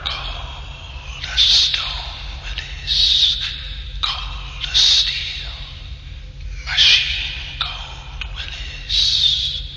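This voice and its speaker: A man voicing a sinister undead man inside a machine in a radio drama, with a breathy, toneless whisper and heavy reverb. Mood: cold, menacing. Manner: slow, chanting, beckoning. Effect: heavy echoing reverb. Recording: distant, cavernous echo over noisy tape.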